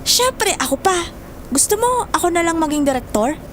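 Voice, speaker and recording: Casting: actress as brash young Filipino-accented student friend, extremely high voice, teasing banter, slight noise. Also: female voice, very animated, normal speed, fairly bright tone, exaggerated voice. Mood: playful, bossy. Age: young adult. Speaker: voice actor. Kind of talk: Tagalog audio drama acting, banter. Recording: faint background noise.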